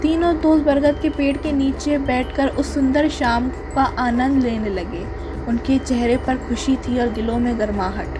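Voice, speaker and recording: A woman narrates a children's story in a high voice. She delivers scripted storytelling lines, with music clearly playing behind her. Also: steady tone, measured pace.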